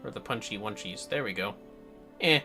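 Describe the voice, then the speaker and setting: Bright, animated game streamer, androgynous voice. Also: mid-range voice, moderate pace, faint music behind. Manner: playful, casual muttering to self, swears. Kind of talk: casual livestream gaming commentary.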